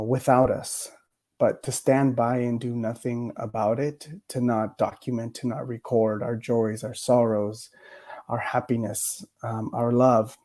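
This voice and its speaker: A man's voice: mid-range voice, mellow. A man giving a reflective lecture on poetry, hesitant with many fillers, his voice a little worn from a cough. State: recovering from a cough, voice a bit worn. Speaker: poet laureate giving a lecture.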